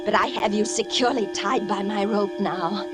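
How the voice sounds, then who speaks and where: An actress playing a secretive wife descending into madness, intense and theatrical, confiding to herself as in a suspense radio drama. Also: female voice, medium pitch, normal speed, natural voice. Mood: dreamy, deranged. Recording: radio drama with loud music behind.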